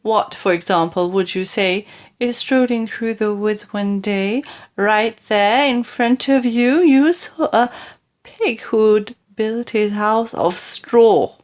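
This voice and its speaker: A woman reading comic rhyming verse for children as scripted narration, with theatrical, deliberate phrasing. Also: medium pitch. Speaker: storybook reader.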